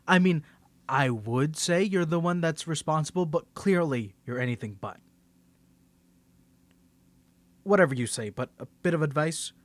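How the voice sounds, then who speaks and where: A man's voice: fairly high pitch, no echo. A man playing a confident, teasing young superhero in a scripted audio roleplay, bantering playfully and stammering when he gets flustered. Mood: exasperated, teasing.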